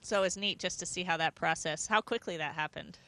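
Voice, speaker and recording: A woman's voice: on the low side, brisk, pushed and bright, rough recording. A woman, around her forties, speaking as an astronaut in an interview, explaining things conversationally into a handheld microphone. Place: inside a space station module.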